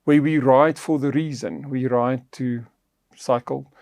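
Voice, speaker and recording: Gravelly, mellow man. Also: mid-range voice, fairly even intonation, measured pace, dry recording, studio quality. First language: Afrikaans. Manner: earnest, preacherly, teaching tone. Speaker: podcast co-host.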